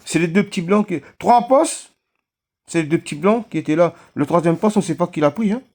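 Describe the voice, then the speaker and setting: A man chatting casually at home, telling a story in a halting, hesitant way. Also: sits high, lively intonation, darkish tone. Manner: hesitant, halting, many fillers. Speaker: vlogger.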